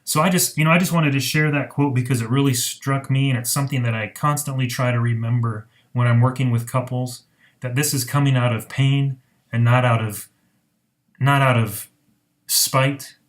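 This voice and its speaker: A man speaking as a marriage counselor in an informal explainer, earnest and reflective, with deliberate pauses. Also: medium pitch, fairly even intonation. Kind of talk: informal explainer talk to camera.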